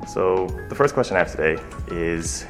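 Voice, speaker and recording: A man's voice: low voice, normal speed, faint music behind. A man from Ireland co-hosting a community radio show, chatting casually with lots of fillers. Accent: Irish. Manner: relaxed, conversational with many fillers. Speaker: radio co-host. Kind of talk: bilingual community radio chat.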